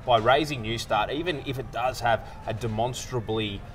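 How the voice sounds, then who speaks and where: A man's voice: medium pitch, moderate pace, a bit bright, a little hiss or hum. A man with an Australian accent giving blunt, confident political opinions on a casual panel. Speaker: political commentator. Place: indoor bar-restaurant, seated at a small table.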